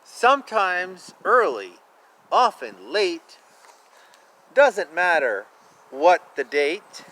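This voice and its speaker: Man reading picture books, lively swooping pitch. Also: extremely slow, poor audio. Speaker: children's book reader. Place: outdoors. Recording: outdoor recording with clear ambient noise. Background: outdoor ambience.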